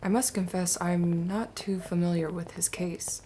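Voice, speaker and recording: A woman playing a calm, polite and inquisitive new hospital doctor in a horror manga dub. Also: lowish voice, measured pace, a little hiss or hum, close to an everyday voice. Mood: calm curiosity.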